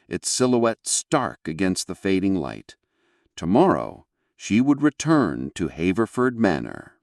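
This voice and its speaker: Low, gravelly male narrator, very lively pitch, distant from the mic. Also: no echo, studio quality. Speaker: audiobook narrator. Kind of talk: scripted narration.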